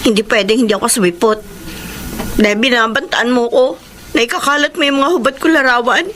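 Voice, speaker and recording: High-pitched, earnest young housemaid played by a woman. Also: a little fast, fairly bright tone, faint music behind, close to an everyday voice. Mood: defiant, angry. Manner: emotive, earnest. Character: earnest young housemaid, honest, emotional, standing her ground. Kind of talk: Filipino radio drama acting.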